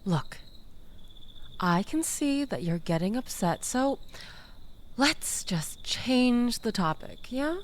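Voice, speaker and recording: A woman's voice: a little high, big pitch swings, slow, long pauses, noisy background. A woman playing a manipulative, flirtatious toxic ex-lover in an intimate audio roleplay, coaxing and teasing with mock innocence. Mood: mock-innocent, needling.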